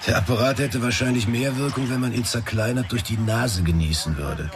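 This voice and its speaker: Actor as sleuth's dry buddy, sirens behind. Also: male voice, medium pitch, brisk, close to an everyday voice. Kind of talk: radio crime drama dialogue. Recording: sirens and street noise behind. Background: vehicle sirens, city ambience.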